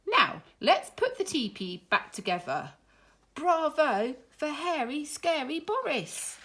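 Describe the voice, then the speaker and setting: A woman's voice: high-pitched, big pitch swings, slow, fairly bright tone, a little hiss or hum, pitched above the performer's own voice, heavily acted. A woman voicing a bossy, fussy hen leader in a firm, commanding storybook manner. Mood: proud, approving.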